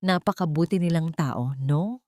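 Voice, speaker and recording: A woman's voice: on the low side, normal speed, warm tone, no room sound, studio quality, natural voice. A woman voicing a kind, grateful elderly mother who reassures her child, in an audiobook drama. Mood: tender gratitude. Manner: reassuring, tender.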